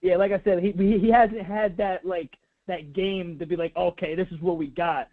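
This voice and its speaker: A man calling in to a sports show, excited and rambling, with lots of fillers. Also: high-pitched, normal speed. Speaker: caller. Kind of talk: casual sports chat. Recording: tinny phone line.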